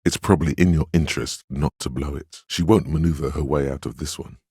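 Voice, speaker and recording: Very deep, stern voice of willpower. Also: male voice, steady tone, darkish tone, breathy edge, dry recording, studio quality, full character voice. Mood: wary, firm. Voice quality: very deep, solemn. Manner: measured, grave, admonishing, dryly wry. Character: stern, protective inner voice of willpower, male, middle-aged. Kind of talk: scripted game narration in second person.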